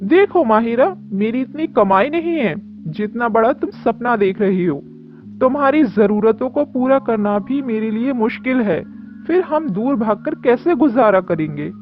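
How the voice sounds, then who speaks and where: A woman playing a cautious, practical man in his twenties in an animated story. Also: a little high, normal speed, natural voice. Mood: cautious, reasoning. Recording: dull lo-fi audio with music.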